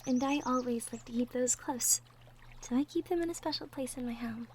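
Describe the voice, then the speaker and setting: A woman playing a gentle, caring young girlfriend from the woods in a soft, intimate romantic ASMR roleplay, with tender murmurs. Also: sits high, fairly quick, slight background noise, natural voice. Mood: thoughtful, open. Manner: soft, tender, breathy murmurs with light giggles. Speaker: audio roleplay performer. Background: birdsong and outdoor nature ambience effects.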